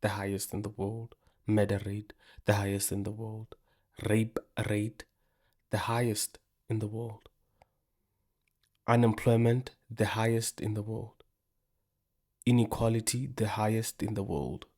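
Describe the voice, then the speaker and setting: A man from South Africa with a fairly low voice chats through a reaction video. He talks loosely and informally, tossing in slang. His delivery stays relaxed, as if he is talking to a friend. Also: unhurried, pauses often. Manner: chatty, says "bro" often. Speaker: reaction channel host. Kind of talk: casual reaction commentary.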